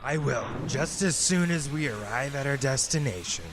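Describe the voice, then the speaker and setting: A man's voice: mid-range voice, lively intonation, normal speed, background noise, natural voice. A man playing an unhinged, grieving villain who swings between menace and tender pleading in a melodramatic audio drama. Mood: menacing, wild. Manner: melodramatic, intense.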